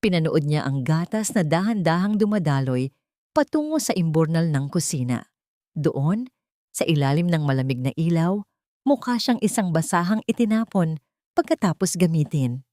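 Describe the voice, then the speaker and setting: A woman with a Filipino accent narrating a dramatic audiobook with expressive storytelling. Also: sits low, normal speed, dry recording, studio quality.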